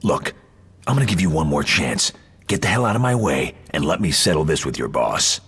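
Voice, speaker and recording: Video game lead: cocky wisecracking tough guy, very low register, animated swings, sarcastic edge. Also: fairly quick, exaggerated voice. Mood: sardonic, impatient. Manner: sarcastic, gruff. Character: cocky wisecracking male action-game hero.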